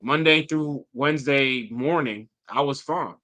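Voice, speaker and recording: Bright-voiced man recovering from flu. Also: medium pitch, unhurried. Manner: relaxed storytelling, lots of fillers. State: recovering from flu, slightly nasal. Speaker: podcast co-host. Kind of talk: casual chat.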